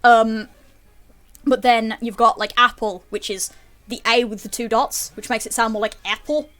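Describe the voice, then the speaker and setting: A woman's voice: fairly high pitch, fairly even intonation, moderate pace, a bit bright. A young woman chatting casually while streaming a game, swearing freely and laughing often. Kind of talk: casual chat while gaming.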